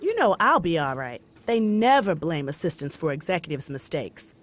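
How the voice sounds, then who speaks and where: An actress playing a sassy, unflappable young office assistant in an audio comedy, slangy and flippant. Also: female voice, deep voice, sing-song, moderate pace. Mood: teasing.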